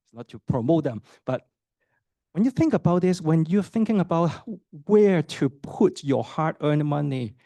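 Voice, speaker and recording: Middle-aged man giving a lively lecture. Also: a little high, normal speed, no room sound. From Hong Kong. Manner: conversational, asks the audience questions, many fillers and "right?" tags. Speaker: associate professor giving a program talk. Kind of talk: university admissions talk.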